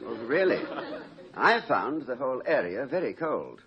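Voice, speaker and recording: An actor playing an erudite, wry, fond middle-aged college president in a 1950s radio comedy, speaking in eloquent, dryly witty sentences. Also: male voice, mid-range voice, sing-song, moderate pace, a crowd in the background, natural voice. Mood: dryly sardonic. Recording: muffled old radio recording with noise.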